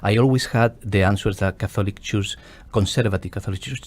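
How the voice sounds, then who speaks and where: A man's voice: lowish voice, fairly quick, a little mellow. A man from Spain with a Spanish accent, a guest on a radio interview, telling his story earnestly and searching for English words.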